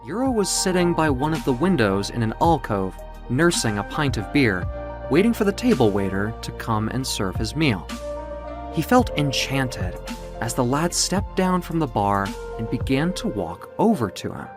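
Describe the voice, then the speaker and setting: A man giving dramatic scripted storytelling, with theatrical, deliberate phrasing, like an audio storybook. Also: mid-range voice, very animated, over music.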